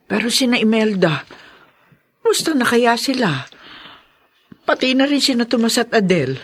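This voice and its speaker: A woman's voice: a little high, animated, normal speed, husky. A woman playing a forgiving, prayerful older mother in a Filipino radio drama. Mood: worried, grateful.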